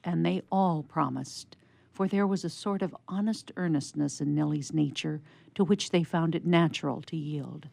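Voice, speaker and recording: A woman's voice: low-pitched, moderate pace, vocal fry, darkish tone, no room sound. A woman narrating a scripted story for radio theatre in a storybook style.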